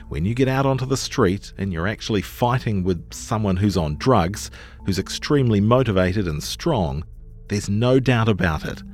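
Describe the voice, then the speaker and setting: A man reading a long-form news feature in a measured, articulate scripted narration style. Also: fairly low pitch, a little mellow.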